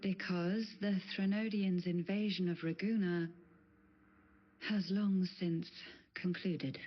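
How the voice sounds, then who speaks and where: A woman voicing a composed, courteous noble matriarch in a video game, speaking formally and with measured poise. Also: lowish voice, steady tone, highs cut off, slight background noise. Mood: grave, measured. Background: loud game music.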